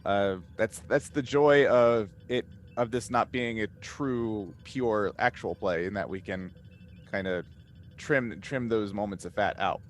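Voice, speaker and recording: A man in a casual panel chat as a game master, rambling and halting as he thinks aloud. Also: lowish voice. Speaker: podcast cast member and game master. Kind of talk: casual panel chat answering fan questions. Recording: noticeable background noise.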